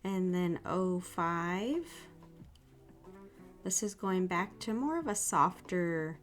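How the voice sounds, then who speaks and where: A woman hosting her own nail art channel, chatting casually through a product review, a little sick with an irritated throat, soft and gushing. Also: lowish voice, expressive, slow pace, soft background music.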